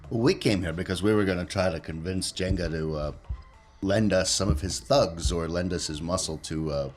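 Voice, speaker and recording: A man's voice: deep voice, moderate pace, soft background music. A man taking part as a player in a tabletop role-playing game, talking casually in and out of character.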